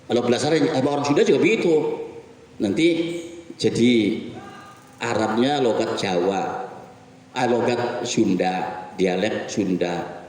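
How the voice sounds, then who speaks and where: A middle-aged man giving a weekly mosque sermon in a conversational, animated way, asking his listeners rhetorical questions. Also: medium pitch, unhurried, long pauses. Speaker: preacher. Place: mosque hall. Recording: echoey mosque hall from far off.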